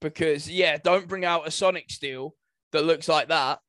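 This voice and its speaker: A man with a slightly high-pitched voice talks in a casual, conversational way as a podcast co-host. The sound is very dry, with no room around him. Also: unhurried, fairly bright tone, no echo. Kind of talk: casual chat.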